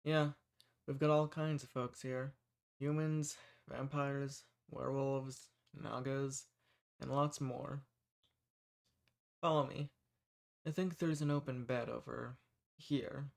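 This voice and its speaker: A man's voice: medium pitch, little pitch movement, takes it slowly, vocal fry, pauses often. A man playing a kind, folksy human rebel who earnestly reassures and shelters monsters in a scripted audio roleplay. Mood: friendly, reassuring. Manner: folksy, reassuring, earnest, uses y'all and ain't. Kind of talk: scripted audio roleplay, speaking softly to the listener.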